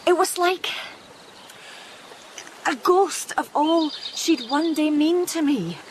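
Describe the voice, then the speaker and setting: A woman's voice: sits high, animated, slow. An actress playing a determined, protective, grieving mother in a radio drama, with a wry, commanding and urgent manner. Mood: wry, wistful. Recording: noisy outdoor ambience behind the voice.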